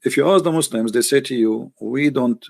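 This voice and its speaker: Gravelly-voiced man hosting a call-in. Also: mid-range voice, fairly quick. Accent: Arabic. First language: Arabic. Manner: teasing, jokey, non-native phrasing. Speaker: host taking calls. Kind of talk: casual call-in debate chat.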